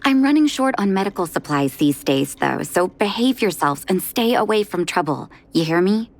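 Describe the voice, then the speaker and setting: An actress playing a stern but caring woman doctor in a video game, measured and gently scolding. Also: lowish voice, expressive. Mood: stern, weary.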